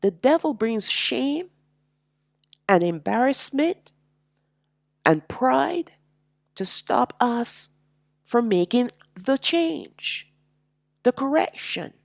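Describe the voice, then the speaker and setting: A woman teaching a slow, reflective sermon, sounding nasal and congested from allergies, with drawn-out, emphatic phrasing. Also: on the high side, very animated, gravelly, no echo, studio quality. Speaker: religious teacher.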